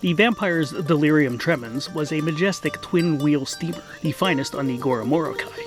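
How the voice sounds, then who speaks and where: A man with a fairly high voice narrates a comic fantasy tale. His scripted delivery is wry and mock-literary, and music plays softly behind him.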